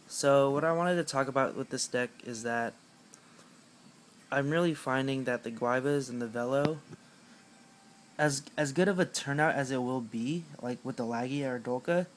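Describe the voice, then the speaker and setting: A young man with a raspy voice, chatting casually at home about a card game deck, rambling with lots of fillers and self-mocking jokes. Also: mid-range voice, slight background noise. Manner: rambling, filler-heavy, self-mocking with frequent clearing of the throat. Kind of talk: casual talk to camera.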